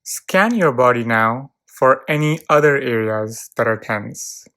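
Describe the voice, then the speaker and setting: A narrator leading a guided visualization in a calm, soothing, measured way, leaving long pauses between short phrases. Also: medium pitch. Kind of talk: guided visualization, relaxation script.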